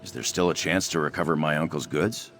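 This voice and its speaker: A man voicing an earnest, shy young half-orc, half-cat-folk in a video game, with a gravelly voice and polite manner. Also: a bass voice, a little fast, a bit bright, soft background music. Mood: grateful, worried. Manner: earnest, self-effacing, polite.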